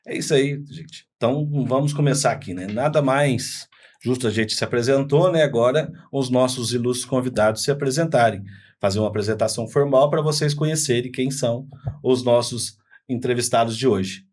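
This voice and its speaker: A man co-hosts a business podcast at a middle pitch. His tone is casual and welcoming as he hands over to the guests. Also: fairly quick, darkish tone. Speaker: co-host. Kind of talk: podcast interview.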